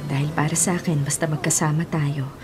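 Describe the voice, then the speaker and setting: An actress plays a patient young Filipino girlfriend in a radio drama. Her voice is slightly low, gentle and sincere, with music clearly behind it. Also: fairly even intonation, brisk, close to an everyday voice. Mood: tender, content. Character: patient, supportive young girlfriend, caring and devoted. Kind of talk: Tagalog radio drama acting. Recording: music under the voice.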